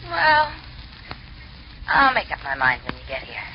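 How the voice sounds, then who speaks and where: A woman's voice: mid-range voice, wildly swooping pitch, unhurried. Teasing, lilting girlfriend. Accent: American. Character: teasing, exasperated rich girlfriend of a detective. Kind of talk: 1940s radio acting. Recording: dull, hissy old radio recording.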